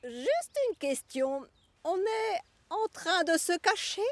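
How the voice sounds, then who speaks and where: A woman voicing a bossy yet encouraging female railway carriage in a cartoon, expressive and coaxing. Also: very high-pitched, takes it slowly, noisy background, exaggerated voice. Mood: puzzled. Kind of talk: French cartoon dub acting.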